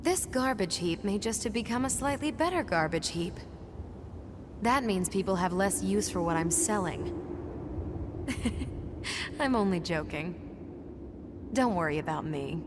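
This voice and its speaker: An actress plays a breezy woman trader from a game, wry and teasing at a middle pitch. Clear ambient noise runs behind her nonchalant delivery. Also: expressive, a little slow, long pauses, natural voice. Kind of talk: video game cutscene acting. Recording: noisy ambient background.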